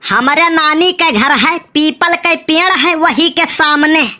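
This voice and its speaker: A whiny cartoon debtor from the village voiced by a woman, always protesting and dodging in an Awadhi accent. The voice is very high and sharp, with slow, stretched-out delivery. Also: full character voice. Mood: stalling. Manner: evasive, protesting. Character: evasive, whiny village debtor full of excuses. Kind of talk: exaggerated comic cartoon acting.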